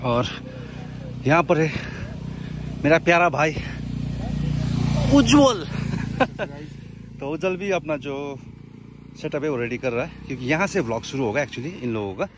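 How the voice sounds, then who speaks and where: A man's voice: fairly high pitch, expressive, measured pace, long pauses, background noise. A young man doing casual travel-vlog narration outdoors on a helmet and selfie camera, chatting like a tour guide and calling out to his viewers. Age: young adult. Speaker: motorcycle travel vlogger. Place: outdoors on hill roads and at a roadside cafe. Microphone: helmet camera and handheld selfie camera. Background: background music and passing vehicles.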